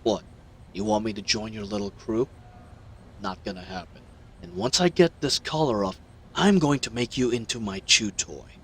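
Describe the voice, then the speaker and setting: A man voicing a captive young werewolf hero, gruff, defiant and wounded, in an audio roleplay. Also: fairly low pitch, big pitch swings, normal speed, in a large hall, slight background noise.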